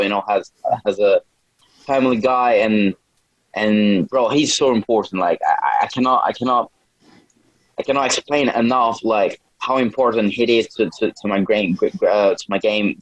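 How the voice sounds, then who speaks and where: A young man from a small town in Brazil with a Brazilian accent, answering interview questions casually in halting non-native English, often repeating words. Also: fairly low pitch, dry recording, poor audio. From Brazil, small town in the north. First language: Portuguese. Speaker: MMA fighter, podcast guest.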